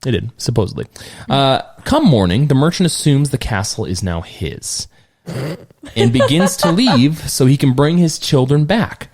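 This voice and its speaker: A man's voice: mid-range voice, very animated, moderate pace, a little mellow. A man hosting a casual podcast, retelling an old fairy tale with joking asides. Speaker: podcast host, storyteller. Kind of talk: casual storytelling chat.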